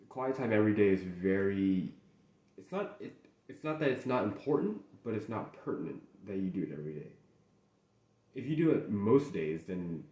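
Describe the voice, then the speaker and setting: A young man in casual solo chat as host, rambling and halting with many restarts, mentions speaking English natively. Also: on the low side, mellow. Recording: echoey room.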